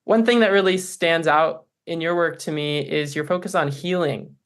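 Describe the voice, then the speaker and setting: A man's voice: fairly high pitch, steady tone, normal speed, a bit bright, clear, resonant tone. A man co-hosting a podcast, speaking in a welcoming, appreciative conversational way as he interviews. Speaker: podcast co-host.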